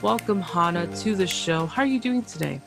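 A woman from Canada hosting a casual interview podcast, chatty and quick to exclaim. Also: fairly low pitch, expressive, creaky, loud music behind the voice. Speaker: podcast host.

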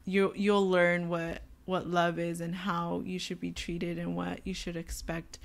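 Young woman, raspy voice, somewhat low pitch, chatty and earnest, faith podcast co-host. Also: little pitch movement, unhurried. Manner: chatty, many filler words, earnest.

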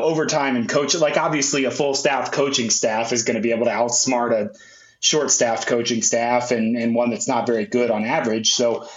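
A man's voice: medium pitch, echoey room, muffled recording. A man from Memphis co-hosting a sports podcast, talking casually in a nasal voice. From Memphis, USA. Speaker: co-host.

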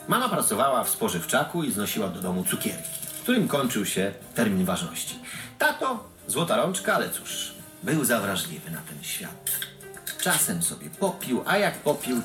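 Audio-play monologue: wry, embittered provincial man, animated delivery, reverberant space, music underneath. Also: fairly low pitch. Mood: wistful, ironic. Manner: sardonic storytelling. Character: wry, bitter man from a bleak provincial town. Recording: roomy produced audio drama with music.

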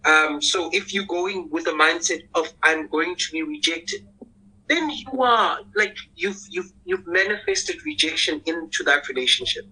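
A man with a squeaky voice, hosting a casual podcast panel in an earnest, reflective way and often repeating words as he starts. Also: animated, unhurried, sharp tone, husky, low-quality recording.

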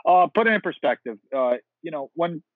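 Male podcast host, halting questioner, thin phone-quality audio. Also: on the high side, expressive, dry recording. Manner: halting, many fillers and restarts. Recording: tinny phone-like line.

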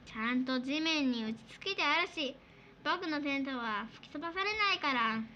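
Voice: sits high, expressive, normal speed, pushed and bright, muffled recording, slight background noise. A performer voicing a caring, easygoing young pig boy with a childlike manner in a children's radio drama. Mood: caring, chiding.

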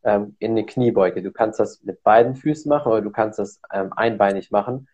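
Low-voiced male podcast host, dull sound. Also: fairly quick, a little mellow, husky. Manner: explains at length, many fillers. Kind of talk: casual podcast conversation.

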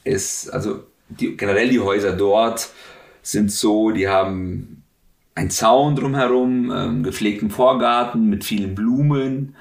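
A man's voice: on the low side, fairly even intonation, a little slow, creaky. A man in his thirties from Russia, answering interview questions in a hesitant way with many filler sounds. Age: 30s. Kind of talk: conversational interview. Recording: echoey room, distant mic.

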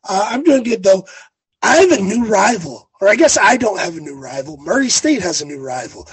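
A young man co-hosting a sports podcast, talking casually with a hoarse, raspy voice after being sick, rambling and correcting himself. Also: high voice, big pitch swings, a little fast. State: hoarse, raspy from recent illness. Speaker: podcast co-host.

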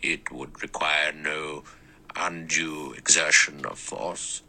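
A man voicing an elderly male judge with a small, clear, thin and sour voice, speaking slowly and measured. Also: a bass voice, fairly even intonation, pushed and bright, a little hiss or hum, full character voice. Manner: slow, measured, dry.

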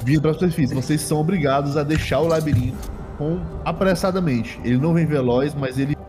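A Brazilian man improvising tabletop game narration as game master, halting and often repeating his phrases. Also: a little high. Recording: long hall reverb, music behind.

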